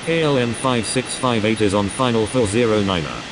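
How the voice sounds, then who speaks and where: Noisy hum, guy acting as a male pilot, lowish, sober n businesslike atc calls, flight sim. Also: moderate pace, lightly acted. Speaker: pilot on air traffic radio. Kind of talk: clipped procedural radio readbacks in a simulator game. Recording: clearly noisy, steady background hum.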